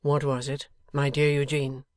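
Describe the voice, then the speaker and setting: A woman reading a loyal gentleman friend in a low, gentle, steady voice. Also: measured pace, no echo, studio quality.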